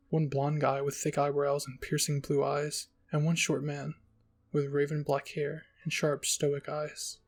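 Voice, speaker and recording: A man narrating a first-person fan-fiction story as a roleplay reading. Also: on the high side. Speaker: story reader.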